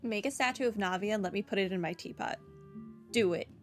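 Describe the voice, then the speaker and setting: A woman's voice: mid-range voice, quick pace. A woman giving casual, wry, reactive playthrough commentary as a gaming streamer, with game music behind her.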